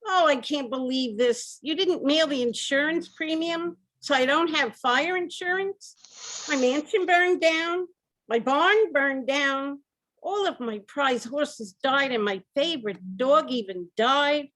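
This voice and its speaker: A woman's voice: high voice, slow, a bit bright, poor audio. A woman playing a distraught, incredulous rich husband who owns a mansion, in an old-time radio comedy style. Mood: incredulous despair. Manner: dramatic, incredulous, repetitive laments. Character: distraught rich mansion owner, husband, reeling from piling losses.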